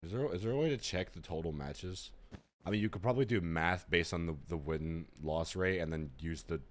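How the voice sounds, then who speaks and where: A man streaming a game while sick, his voice rough and hoarse. He chats with his viewers in laid-back slang and jokes around. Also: low voice, fairly quick, slight background noise. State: sick, voice gone very deep. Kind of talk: casual gaming stream chat.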